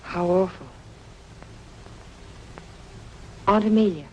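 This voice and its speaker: A woman's voice: mid-range voice, a little fast, lightly acted. Actress as polite young woman in an old drama, composed, a bit guarded, faint hiss, muffled. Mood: curious. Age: young adult. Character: poised, curious, politely guarded young niece. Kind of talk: mid-century American film drama acting. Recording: dull, noisy vintage soundtrack.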